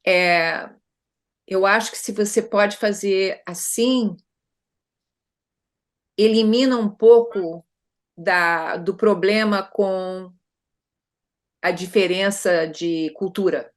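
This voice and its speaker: A woman's voice: mid-range voice, steady tone, slow, long pauses. A middle-aged Brazilian woman consultant speaking conversationally in an interview, often adding tag questions and restarting her sentences. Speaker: consultant guest.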